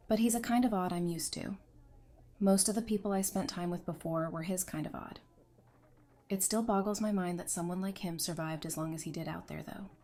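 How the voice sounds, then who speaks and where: A woman's voice: fairly low pitch, mellow. A woman playing a frank, teasing, resilient survivor in audio drama dialogue. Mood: bemused.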